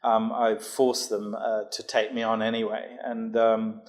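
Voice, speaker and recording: A man in his sixties from Jamaica, a podcast guest speaking in a measured way, often pausing with ums and uhs. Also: fairly low pitch, flat delivery. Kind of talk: podcast interview, recounting his career.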